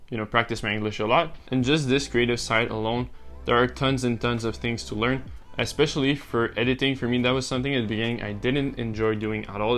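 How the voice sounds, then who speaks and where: Casting: young man, relaxed vlogger, mid-range voice, faint music underneath. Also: medium pitch. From French-speaking Canada. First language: French. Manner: relaxed, rambling with many uh and um fillers. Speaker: YouTuber. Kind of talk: casual talking-to-camera vlog.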